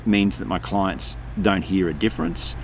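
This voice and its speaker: A man's voice: sits low, sing-song, moderate pace, gravelly. An older man with an Australian accent, a voice artist chatting in a casual interview, rambling and breaking off mid-sentence. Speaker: voice artist guest. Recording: noisy outdoor street ambience.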